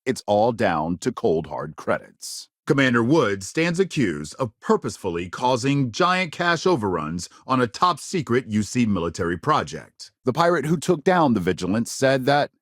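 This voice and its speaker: An actor playing a polished, earnest news reporter in a sci-fi video game, reading scripted bulletins in a measured, emphatic delivery. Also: male voice, medium pitch, sing-song, a bit bright, dry recording, clean studio sound. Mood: serious, formal.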